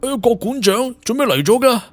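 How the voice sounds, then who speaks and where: 一個女人用粵語有聲小說嘅演法，扮演一個後生、恭敬、急急忙忙嘅值班保安。. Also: female voice, on the high side, big pitch swings. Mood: startled. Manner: hurried, respectful. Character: young, deferential, startled security guard on duty. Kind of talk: Cantonese audiobook character acting.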